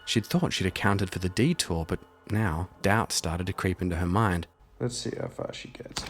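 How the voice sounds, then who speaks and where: A man's voice: sits low, moderate pace. A man hosting a solo role-playing audio show, moving between storytelling and casual thinking aloud about the game. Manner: thinks aloud while playing, self-deprecating asides. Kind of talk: narrated story mixed with casual game commentary.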